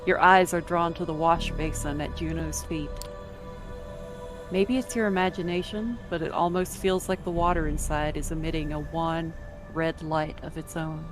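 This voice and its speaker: A woman reads scripted story narration, addressing the listener as the main character. Her voice is somewhat low. Music plays clearly behind her. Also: fairly even intonation, moderate pace.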